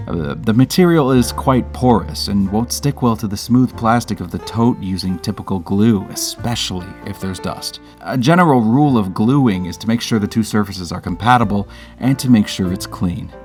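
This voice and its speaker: A man's voice: fairly low pitch, normal speed, a little mellow, faint music behind. A man hosting a DIY show, narrating a scripted tutorial in an upbeat, playful way with chatty asides. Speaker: host of a DIY creator show.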